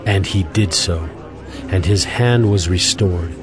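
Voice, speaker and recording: Guy narrating bible story, lowish voice, measured and formal, music underneath. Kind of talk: scripted scripture narration for a dramatized audio Bible.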